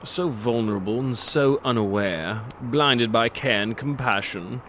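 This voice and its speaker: An actor playing a gloating predatory monster who narrates a dark fantasy audio drama in a theatrical, savoring, sardonic manner. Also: male voice, mid-range voice, unhurried, a little hiss or hum, close to an everyday voice. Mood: dark, mocking.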